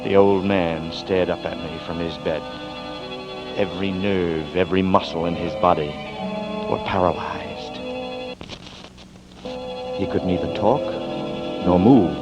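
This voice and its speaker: A man's voice: sits low, steady tone, measured pace, pauses often. An actor playing a desperate young man accused of murder, tense and urgent, in 1940s radio acting, heard on a dull old radio recording with music. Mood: grim. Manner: tense and urgent, hushed then rising. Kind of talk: 1940s radio acting with first-person narration.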